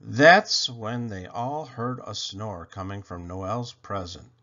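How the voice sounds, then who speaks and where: A man reading a children's picture book aloud in a slow, expressive storytelling voice. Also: on the low side. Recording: dull lo-fi sound.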